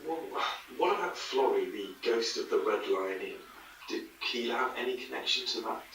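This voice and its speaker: Podcast drama role: gently probing male interviewer, medium pitch, bright tone, conversational. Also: expressive, normal speed, distant mic. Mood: curious, probing. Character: inquisitive interviewer probing gently for hidden connections. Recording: very echoey room, off-mic, faint noise.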